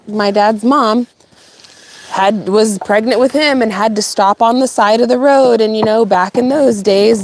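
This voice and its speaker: An animated middle-aged woman from California tells a family story on a livestream, rambling through asides and laughing often. Her voice sits a bit high for a woman, and she talks casually, like chatting with friends. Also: slight background noise. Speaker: channel host.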